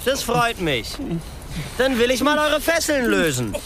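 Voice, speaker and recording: A man's voice: extremely high pitch, huge pitch swings, measured pace, noisy background, full character voice. An actor playing a sadistic, mockingly courteous showman in a radio crime drama, pompous and turning vicious. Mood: cruel, taunting. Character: sadistic theatrical male arms-dealing showman, mockingly courteous.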